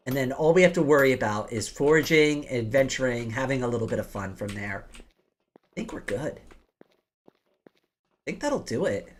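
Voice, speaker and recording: A woman with a rough, slightly hoarse and rattly voice, chatting casually as she hosts a game livestream and talks through her plans. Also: rumbling low, slight background noise. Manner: chatty, explains plans aloud while playing. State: hoarse from days of coughing. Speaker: livestream host. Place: home office. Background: music.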